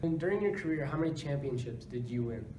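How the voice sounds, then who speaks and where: A man's voice: a little high, lively intonation, fast talker, roomy sound, far from the mic. Male reporter, noisy recording. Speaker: news reporter interviewing a guest. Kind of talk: scripted mock news interview.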